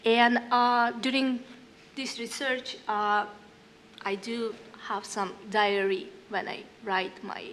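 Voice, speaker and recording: A young woman musician from northern Kazakhstan, a native Russian speaker, talking on a panel in halting English with many fillers and reading from her diary. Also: on the high side, level tone, a bit bright, slight background noise. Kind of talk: panel talk with read-aloud diary. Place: hall panel stage.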